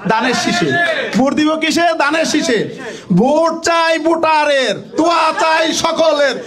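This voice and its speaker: Bangladeshi man, very high pitch, rousing political speech, emphatic, repetitive phrasing. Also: measured pace, a faint crowd. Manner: emphatic, repeats phrases, often shouting. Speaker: political party activist.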